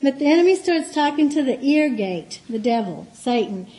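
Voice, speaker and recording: A woman with a light voice giving a chatty church teaching as a guest speaker, telling stories with soft asides. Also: sits high, expressive, moderate pace, poor audio. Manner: warm, chatty storytelling with soft laughs and asides. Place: meeting room with a board.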